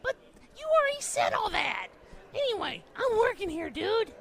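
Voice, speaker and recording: Cheeky cartoon goblin pitchman, extremely high, wildly swooping, salesy, giggly, gravelly creak. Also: very animated, normal speed, fairly bright tone, exaggerated voice. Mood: cheeky joking. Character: manic goblin salesperson, wisecracking, cheeky and squeaky. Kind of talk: comedy skit in a roleplay podcast.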